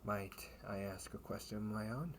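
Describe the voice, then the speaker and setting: A man's voice: fairly low pitch, normal speed, close to an everyday voice. A man playing a kindly, teasing knight captain in a comfort audio roleplay, speaking in courtly, old-fashioned phrases. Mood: gently concerned. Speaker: amateur audio roleplay performer. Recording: clearly noisy home recording with ambience.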